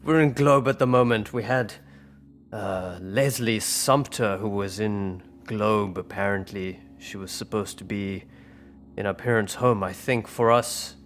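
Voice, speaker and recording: A man's voice: fairly low pitch, unhurried, fairly bright tone. A man role-playing at a tabletop game, making his case insistently and repeating phrases for emphasis. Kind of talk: in-character role-play discussion.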